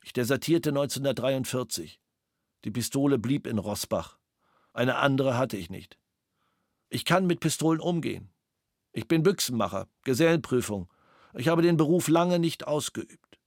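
A man's voice: medium pitch, lively intonation, moderate pace, no echo, clean studio sound, natural voice. A man reading an ex-soldier's sworn statement. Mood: flat, factual. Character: former soldier and gunsmith giving a witness statement. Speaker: reader of interrogation protocols. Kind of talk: sober read-out statement.

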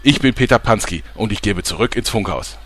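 An actor playing a pushy TV reporter with a dramatic newsreader tone in a comedy audio drama. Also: male voice, on the low side, animated, a little fast, fairly bright tone, slight background noise.

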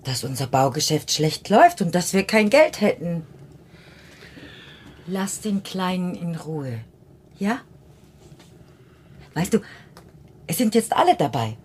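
A woman's voice: fairly low pitch, big pitch swings, slow, pauses often, slightly rough, heavily acted. An actress playing a worried, reproachful middle-aged mother in a German radio play. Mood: defensive, worried. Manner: questioning, reproachful.

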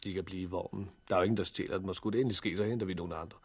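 An actor playing a practical, hardened survivor of a catastrophe in a 1960s radio drama, dry, matter-of-fact and formally polite. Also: male voice, sits low, fairly even intonation, husky. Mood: brisk, dry.

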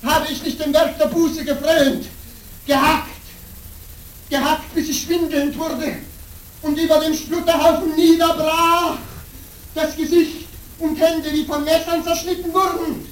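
An actor playing a tormented, exhausted monk in a radio play, lamenting in a drawn-out, declamatory way. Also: male voice, very high-pitched, little pitch movement. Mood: anguished. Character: tormented penitent monk, anguished, exhausted, driven. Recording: echoing cave-like space, distant, faint noise.

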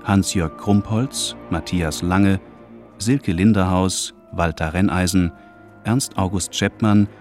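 A man announces a radio drama in a deep voice. His scripted delivery is formal, measured and slow, with music playing underneath. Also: fairly even intonation, darkish tone.

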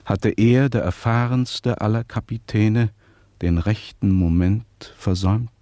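A man playing a formal, measured chronicler, narrating a scripted radio play with rhetorical emphasis. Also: lowish voice, steady tone, warm tone, no room sound, lightly acted. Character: formal, deliberate male chronicler of a shipwreck.

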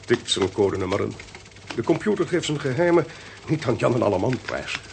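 Sardonic, creaky-voiced male investigator in charge. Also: mid-range voice, sing-song, brisk. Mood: commanding. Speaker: actor. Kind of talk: radio drama acting in Dutch. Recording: faint hiss on radio play recording.

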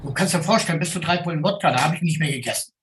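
A man's voice: on the high side, racing, a bit bright. A middle-aged man from the Ruhr speaks like a street-level city guide. He is blunt and colloquial, giving a candid, unscripted account. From Ruhr area, Germany. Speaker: city tour guide, interviewee. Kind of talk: casual, candid spoken account.

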